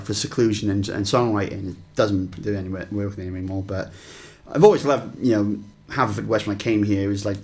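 A young Welsh man talking casually as a guest musician, rambling with lots of fillers and restarts. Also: on the low side. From Wales. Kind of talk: casual interview chat.

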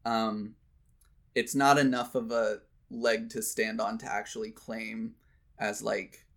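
A man's voice: fairly low pitch, flat delivery, slow, vocal fry, fairly bright tone. A man giving his opinions in casual conversation, emphatic and given to voicing imagined quotes.

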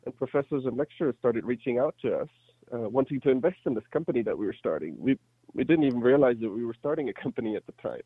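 A man in his thirties with a light German accent chats as an interview guest, telling stories in a casual, conversational way. His medium-pitched voice comes through narrow and phone-like, with a dry sound and no room echo. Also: expressive, fast, breathy edge. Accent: slight German. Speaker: interview guest, startup entrepreneur.

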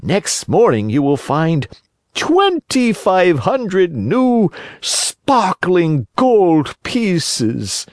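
A cunning fox from a storybook, drawing out each word to tempt his mark, as the reader puts him on. The voice is pitched high above the reader's own and moves very slowly, with wide swoops. The sound is dry and close. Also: wildly swooping pitch, no room sound, heavily acted. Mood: coaxing. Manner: drawn-out, coaxing. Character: sly scheming fox, smooth talker.

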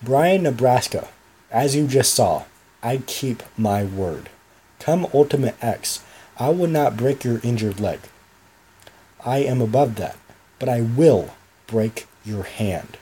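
Guy putting on a low slow threatening wrestler baddie, full of himself, mellow dark tone. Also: expressive, slightly rough, poor audio. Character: menacing god-like male wrestler villain.

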